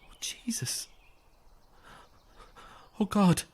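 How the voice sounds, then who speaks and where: A woman's voice: drawn-out words, darkish tone. An actress in a horror audio drama playing a frightened, unravelling woman, anxious and moving between whispers and cries. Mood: frightened, pleading. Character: frightened, unravelling woman trapped on an empty housing estate.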